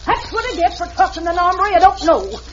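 A woman's voice: very high-pitched, big pitch swings, a little fast. A determined lady sheriff. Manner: folksy Western drawl words. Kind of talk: 1940s radio acting. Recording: dull old radio recording with hiss.

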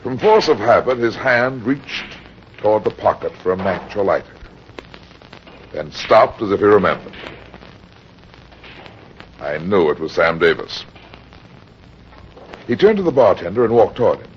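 An actor playing a weary, hard-boiled private detective, terse and grave, in the style of 1940s radio. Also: male voice, on the low side, expressive, a little slow, long pauses, a little hiss or hum. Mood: brooding. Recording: dull old radio recording with music.

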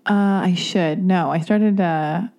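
A woman's voice: lowish voice, slow pace, a little mellow. A woman hosting a podcast, asking eager, chatty questions in casual conversation. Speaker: podcast host. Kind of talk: casual interview chat.

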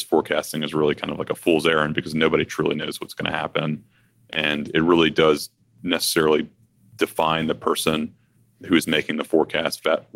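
Male financial advisor, extremely low and flat voice with gravelly fry, dry close sound. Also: no room sound. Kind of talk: conversational finance discussion.

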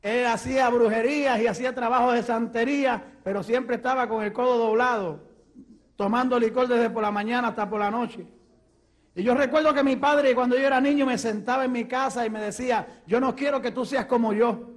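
A man with a Puerto Rican accent, an evangelist preaching and telling his testimony with fervor that rises to shouts. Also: extremely high pitch, fairly even intonation, brisk. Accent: Puerto Rican Spanish. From Puerto Rico. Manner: fervent, rising to shouts.

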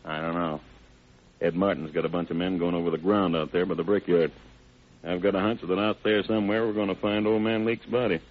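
A man's voice: low voice, steady tone, a little fast. An actor playing a shrewd, suspicious lawman with clipped, slangy, probing talk in an old radio crime drama. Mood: intent, grave. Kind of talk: 1940s-50s radio crime drama acting. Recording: tinny band-limited old radio recording.

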